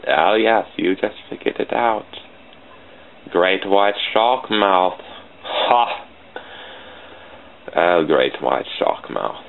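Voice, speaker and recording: A man's voice: low voice, lively intonation, extremely slow, vocal fry, long pauses. A man with a British accent giving rambling, self-deprecating commentary to himself while drawing on a computer, recorded at a home desk on a cheap computer mic. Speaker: channel host drawing sprites. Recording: tinny phone-like lo-fi mic with faint hiss.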